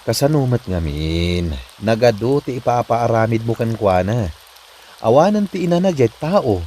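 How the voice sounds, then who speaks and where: A man's voice: medium pitch, very animated, normal speed, dark tone, natural voice. A man with an Ilocano accent playing an exasperated, grumbling husband in a comedy drama, on a noisy recording. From Philippines. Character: exasperated husband grumbling at his strict wife.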